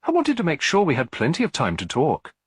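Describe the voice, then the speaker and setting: Male reader as a kindly old lady sleuth, gentle, reassuring, inquisitive, lilting range, dry close sound. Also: fairly high pitch, wildly swooping pitch, a little fast, husky, no echo, voice pushed up, full character voice. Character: kindly elderly lady detective, gentle and attentive.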